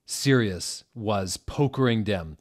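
Slow, low-voiced young man reading theatrically. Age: young adult. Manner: deadpan, reads misspellings literally with theatrical emphasis. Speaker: reader, show host. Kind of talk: mock-dramatic reading of bad fan fiction. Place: indoor room at a table. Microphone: desk microphone on a stand, close.

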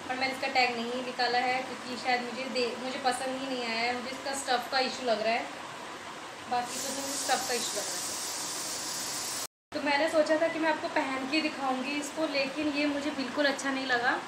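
Chatty high-voiced woman vlogging in echoey room. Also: steady tone, brisk. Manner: chatty and rambling, repeats herself; gets more emphatic when complaining. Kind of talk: casual product unboxing review. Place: small tiled room indoors. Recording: echoey tiled room, loud background hiss.

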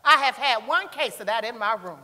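A woman's voice: on the high side, expressive, fairly quick, sharp tone. A woman playing a hesitant 1890s schoolteacher testifying in a dramatic stage reading. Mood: uneasy. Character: hesitant female 1890s schoolteacher on the witness stand. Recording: echoey theatre hall.

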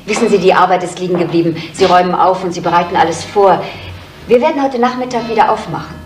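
An actress playing an innkeeper's grown daughter, guarded and resolute, in a 1970s German radio crime drama. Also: female voice, on the low side, fast, faint music behind, close to an everyday voice. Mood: guarded, firm. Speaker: actor in a crime radio play. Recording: noisy 1970s radio play recording.

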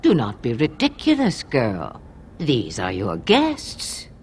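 A woman's voice: rumbling low, huge pitch swings, a little hiss or hum, full character voice. Swooping, cryptic old witchy woman. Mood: mocking amusement. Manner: theatrical, teasing, unhurried. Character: cryptic, sharp-tongued old woman of the wilds, gleefully mocking. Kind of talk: fantasy video game voice acting.

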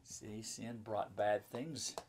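A man's voice: on the low side, steady tone, slow, a little mellow, slight background noise. A man in his fifties, a pastor, reading a children's story and explaining it simply in chatty asides. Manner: simple explaining to young listeners. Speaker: pastor and children's book author. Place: indoor book-lined room at home or office.

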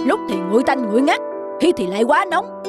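A woman voicing a pompous, bossy fat master of the house in an animated folktale. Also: sits high, fairly quick. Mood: bossy, irritated. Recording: music behind the voice.

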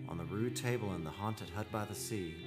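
A man's voice: fairly low pitch, a little fast, loud music behind the voice, natural voice. A man playing a tormented, lovestruck mortal in an old-time radio drama, with brooding, theatrical and formal delivery. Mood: awed, brooding.

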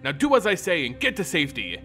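A man voicing an honorable, stern warrior knight in a dramatic fantasy story, speaking forcefully through gritted teeth. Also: fairly high pitch, huge pitch swings, quick pace, faint music behind, full character voice. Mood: urgent command. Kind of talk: dramatic fantasy audiobook character voice.

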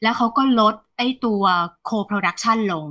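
A woman in her thirties, an economist guest, explaining the market in a lively, conversational way over a video call. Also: on the high side, takes it slowly. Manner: animated, refers to herself by nickname, mixes English terms. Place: plain indoor room, video call.

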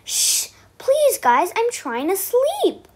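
A woman's voice: very high voice, huge pitch swings, slow pace, voice pushed up, exaggerated voice. A woman voicing a sleepy, fed-up zebra. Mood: weary pleading. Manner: weary, pleading shushing. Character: tired grumpy male zebra begging for sleep.

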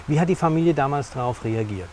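A man's voice: medium pitch, animated, fast, warm tone. A middle-aged man hosting an interview, speaking emphatically and repeating phrases for stress. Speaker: interviewer and host. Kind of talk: television interview presenting. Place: outdoor lakeside café terrace. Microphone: lapel mic. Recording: clear outdoor background noise.

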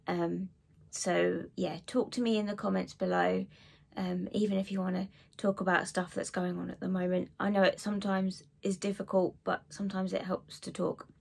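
Croaky-voiced woman chatting about books. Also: mid-range voice, flat delivery, normal speed. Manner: chatty, warm asides, frequent ums. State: hoarse from a cold. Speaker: book vlogger. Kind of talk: casual chat to camera. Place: living room with bookshelves.

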